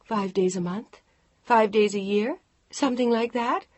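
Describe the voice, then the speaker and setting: A woman voicing a distraught, defiant pregnant woman who shouts and pleads. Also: unhurried, no echo. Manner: shouting, pleading, raised pitch.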